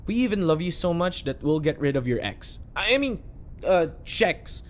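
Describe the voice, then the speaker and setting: A man's voice: fairly high pitch, big pitch swings, moderate pace, slight background noise. A man playing a flustered, obsessively devoted bodyguard in an audio roleplay, earnest and nervously backpedalling. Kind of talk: audio roleplay acting addressed to the listener.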